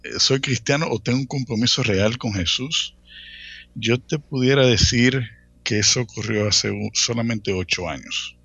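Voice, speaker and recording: A man in his late thirties with a Dominican accent, telling his own story casually as an interview guest, often pausing and repeating words. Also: mid-range voice, creaky, a bit bright, slightly rough, no room sound, muffled recording. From Dominican Republic. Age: late 30s. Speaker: interview guest, church ministry worker.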